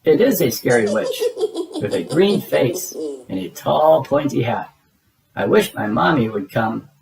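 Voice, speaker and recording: A man's voice: medium pitch, expressive, measured pace, vocal fry, a crowd in the background. A man voicing a polite, nervously brave little furry monster in a storybook, with formal, careful phrasing. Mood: frightened.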